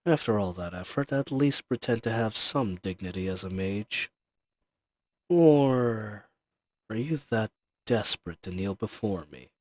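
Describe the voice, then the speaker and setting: A man plays an ancient male dragon in an intimate fantasy roleplay. The voice is fairly low and very unhurried, with a haughty, teasing manner and formal, archaic phrasing. He sounds dangerous yet tender toward the one he addresses. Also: expressive. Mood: haughty, taunting. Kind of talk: dramatic roleplay audio addressed to the listener.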